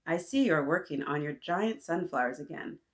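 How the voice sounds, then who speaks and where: A woman's voice: deep voice, lively intonation, moderate pace. A woman voicing a friendly, busy young girl in a picture book, polite, chatty and earnest. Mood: cheerful, polite.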